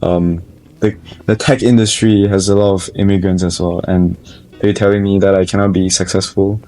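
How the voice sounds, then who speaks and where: College student, 20s, Malaysian accent, deep and even, earnest. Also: male voice, moderate pace, soft background music, poor audio. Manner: earnest, reflective, with frequent restarts. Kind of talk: documentary interview.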